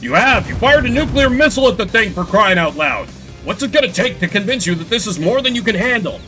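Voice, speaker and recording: Streamer plays an urgent commander on the radio, animated, over loud game music. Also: male voice, sits high, fast, pitched above the performer's own voice, exaggerated voice. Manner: urgent, pleading.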